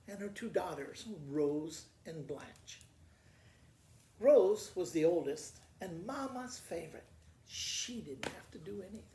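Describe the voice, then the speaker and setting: A woman reading a storybook aloud as a school principal, retelling it in a halting, informal, folksy way. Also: mid-range voice, gravelly, dark tone. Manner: halting, informal, self-correcting. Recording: roomy echo with faint background noise.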